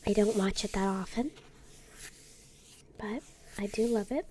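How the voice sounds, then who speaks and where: Woman whispering ASMR, mellow tone, soft and slow, slightly ill. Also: mid-range voice, slight background noise. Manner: soft whispering, gentle and unhurried. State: sick, occasional throat clearing. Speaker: YouTuber. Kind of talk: whispered ASMR tarot deck unboxing.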